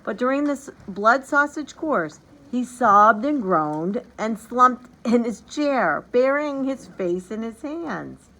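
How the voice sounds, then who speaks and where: A woman narrating a storybook aloud in an expressive, dramatic and deliberate way. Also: on the high side, rough recording. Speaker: teacher reading a storybook aloud.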